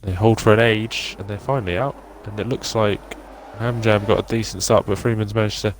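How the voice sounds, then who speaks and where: A man with a low, deep voice calling a sim race on a live stream. He keeps it easygoing and jokey, talking casually with fillers as the cars get away. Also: steady tone, fairly quick, slight background noise, rough recording. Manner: easygoing, joking, calls the race start. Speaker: co-commentator.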